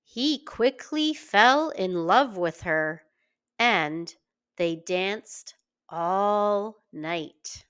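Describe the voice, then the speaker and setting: A woman reading a scripted story aloud for young children in a deliberate, expressive way. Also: mid-range voice, clean tone. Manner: slow, deliberate, expressive delivery. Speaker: children's story reader and teacher.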